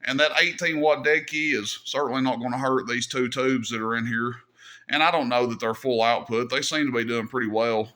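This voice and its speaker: A man's voice: mid-range voice, steady tone, fairly quick, sharp tone. A man casually demonstrating equipment as a hobby host, talking in a folksy, easygoing way as he thinks aloud. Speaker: host of a CB radio hobby channel.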